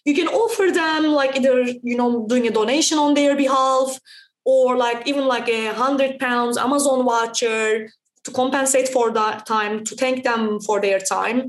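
A young adult woman from Turkey, a startup founder answering podcast interview questions in a fast, emphatic way, often tagging her points with "right?". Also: fairly high pitch, steady tone, reverberant, low-quality recording.

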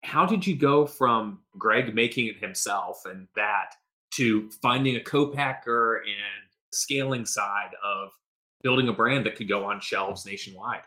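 A man's voice: lowish voice, a little slow, pushed and bright. A man hosting a business podcast, reading an upbeat intro and then asking friendly questions. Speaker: podcast host.

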